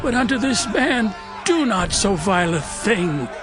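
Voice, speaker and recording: A man's voice: high voice, very animated, unhurried, over music. A man playing a hospitable, protective old man in a dramatized scripture reading, speaking archaic English in an earnest, imploring way. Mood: desperate pleading.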